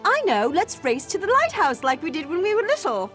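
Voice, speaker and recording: A woman's voice: very high voice, huge pitch swings, a little fast, a bit bright, soft background music, heavily acted. An actress voicing an earnest, sheltered young elf woman in a fantasy game, emotionally open and dramatic. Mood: playful.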